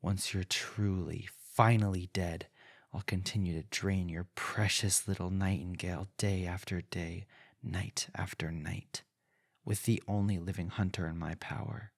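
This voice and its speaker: An arrogant, possessive vampire lord in a fantasy audio roleplay. His voice is very deep and drawn out, pompous and taunting, recorded bone-dry and close. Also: fairly even intonation, no room sound. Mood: smug, menacing. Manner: pompous, taunting, theatrical and deliberate. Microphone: close, dry studio-style mic.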